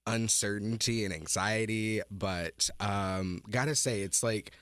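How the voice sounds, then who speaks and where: A young man is a guest on a radio talk show in a studio. He speaks in a fairly low voice, relaxed and loose, with lots of filler words. The sound is dry and close. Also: slow pace, no echo. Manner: relaxed, hedging with many fillers. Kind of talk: casual interview.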